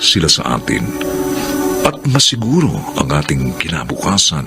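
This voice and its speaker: A man's voice: very deep voice, big pitch swings, moderate pace, gravelly. A man with a Filipino accent narrating a radio drama in a solemn, theatrical announcer style. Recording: loud guitar music behind the voice. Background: dramatic music.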